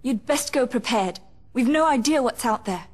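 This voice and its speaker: A woman playing a spirited, concerned young gentlewoman in a video game, urgent yet proper. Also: fairly high pitch, lively intonation, normal speed, a little hiss or hum, close to an everyday voice. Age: young adult. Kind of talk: video game cutscene acting.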